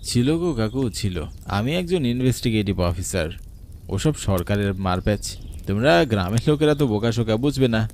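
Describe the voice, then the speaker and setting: Lively, dramatic city officer played by a man. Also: lowish voice, fairly quick, slight background noise, lightly acted. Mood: self-assured. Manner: expressive, dramatic. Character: condescending city investigating officer, easily spooked. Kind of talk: horror cartoon acting.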